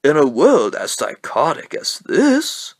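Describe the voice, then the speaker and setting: Mad clown supervillain: slow, sardonic showman delivery, huge pitch swings, cartoon menace, dry close sound. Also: huge pitch swings, dry recording, heavily acted. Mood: manic, sardonic.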